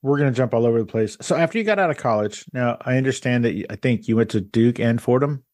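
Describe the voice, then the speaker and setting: A man's voice: mid-range voice, quick pace, darkish tone, no echo. Podcast host man, dry close sound. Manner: curious, loosely phrased questions with restarts. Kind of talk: interview questions.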